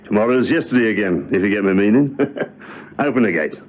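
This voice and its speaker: A man's voice: fairly low pitch, lively intonation, a little fast. An actor playing a casual, callous henchman in a spy radio drama, offhand and sly. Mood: callous, amused. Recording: dull radio recording, faint noise.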